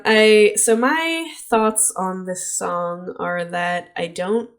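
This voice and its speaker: A woman co-hosting a podcast in casual chat, husky and a bit sick, chatty and opinionated, laughing often. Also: on the low side. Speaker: podcast co-host.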